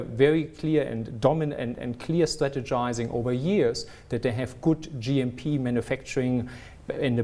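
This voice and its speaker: A man's voice: mid-range voice, darkish tone. A middle-aged man with a non-South African accent, a company executive answering business interview questions earnestly, often restarting as he searches for words.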